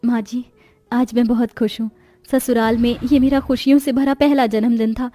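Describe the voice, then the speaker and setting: Gentle, long-suffering elder sister in a moral cartoon, voiced by a woman, high, earnest, deferential. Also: level tone, normal speed, no room sound, natural voice. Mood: tearfully grateful. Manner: soft-hearted, earnest, respectful. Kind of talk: cartoon moral story voice acting.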